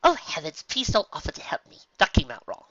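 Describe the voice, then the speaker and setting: Woman voicing a posh dramatic lady unicorn, high and bright, lo-fi sound. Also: huge pitch swings, fairly quick, no echo, full character voice. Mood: anxious. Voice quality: posh, theatrical. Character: refined fussy female unicorn, dramatic and proper. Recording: dull lo-fi recording.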